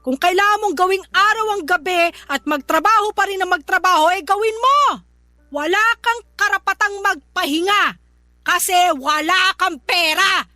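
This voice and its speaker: Older mother-in-law, radio drama, piercing bright voice, scornful, scolding, sarcastic. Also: extremely high pitch, normal speed, no room sound, faint music behind. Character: scornful, nagging older mother-in-law who belittles her daughter's partner.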